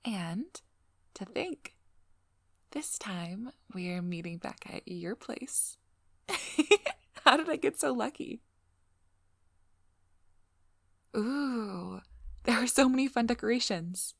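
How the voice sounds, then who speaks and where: A woman playing a devious, vigilant vampire hunter in a scripted ASMR roleplay, teasing and controlling, with a breathy voice that drops into whispers. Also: medium pitch, expressive, extremely slow, pauses often, no echo, clean studio sound, heavily acted. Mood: playful, affectionate. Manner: teasing, playful, coolly controlling. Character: devious, vigilant female vampire hunter, flirty then businesslike. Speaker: audio roleplay performer.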